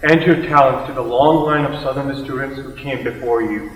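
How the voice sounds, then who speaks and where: A man's voice: mid-range voice, fairly even intonation, fairly quick. Young man reciting in an echoey hall. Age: young adult. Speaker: student performer. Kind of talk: scripted readers theater recitation. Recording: echoey hall, faint background noise.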